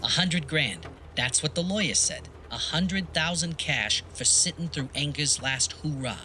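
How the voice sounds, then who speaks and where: A man acts a brash, wisecracking forties newspaper critic in a noir radio play. His voice is sharp and very lively in pitch, smug and needling, over faint background noise. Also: measured pace, exaggerated voice. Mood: mocking. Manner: sneering, slangy, needling. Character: cynical, smug, wisecracking male 1940s film critic. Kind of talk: film noir audio drama acting.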